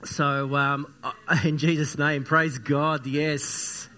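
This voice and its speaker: A man preaches a sermon with a croaky, slightly sniffly voice. He talks earnestly and haltingly, circling back and restarting his phrases. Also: a little high, flat delivery, sharp tone. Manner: earnest, repetitive, halting with many fillers and restarts. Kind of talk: church sermon with opening prayer.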